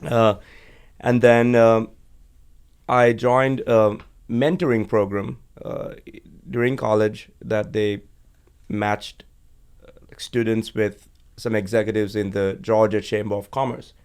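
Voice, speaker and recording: Low, even-toned man telling his story. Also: smooth. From Sri Lanka. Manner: measured, steady storytelling with frequent uh and um fillers. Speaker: guest, energy executive. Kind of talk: conversational interview. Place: podcast studio.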